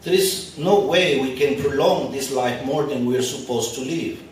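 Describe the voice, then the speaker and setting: A man's voice: medium pitch, moderate pace, slight background noise. A man whose first language is not English, giving a conversational spiritual lecture with light humor to a live audience at an expo. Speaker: spiritual teacher. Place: large echoey venue at an expo. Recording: very echoey hall, speaker far from mic.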